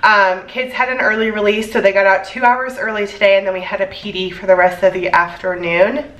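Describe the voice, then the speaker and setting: A woman's voice: medium pitch, steady tone, fairly quick, a bit bright, dull audio. Congested young woman, echoey room. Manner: chatty, tired, filler-heavy. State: congested, stuffy. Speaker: elementary school teacher. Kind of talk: casual vlog chat. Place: home. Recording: echoey room, mic at a distance.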